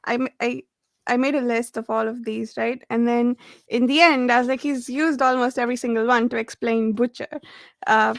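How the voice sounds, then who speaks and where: Female panelist, slightly high register, casual and enthusiastic, loose rambling delivery, close dry recording. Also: fairly even intonation, normal speed, creaky, no echo. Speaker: book discussion panelist. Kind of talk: casual book discussion.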